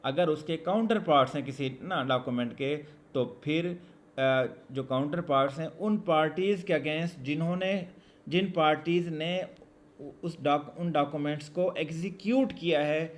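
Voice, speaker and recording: A man with a Pakistani accent giving a law lesson, reading out formal text and explaining it deliberately, repeating key phrases. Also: fairly high pitch. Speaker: law lecturer.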